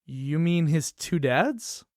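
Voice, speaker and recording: A woman's voice: deep voice, lively intonation, slow pace, a little mellow, no room sound, studio quality. A woman acting as a cheerful, naive library book in a musical puppet show, earnest and questioning. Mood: puzzled, innocent. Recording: music behind the voice.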